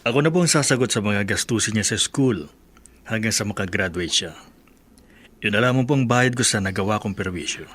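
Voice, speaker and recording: A man's voice: lowish voice, lively intonation, a little fast, a bit bright. An actor playing a responsible businessman in a Filipino radio drama, speaking with a Filipino accent. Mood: polite, apologetic. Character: polite, responsible businessman, teasing with his friend.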